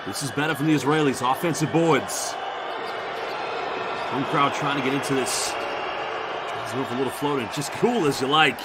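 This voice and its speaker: A man's voice: mid-range voice, moderate pace, long pauses. Man, London accent, basketball commentator, chatty, noisy arena broadcast. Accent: London English. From England. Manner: chatty, reads out viewer shout-outs between plays. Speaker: play-by-play commentator.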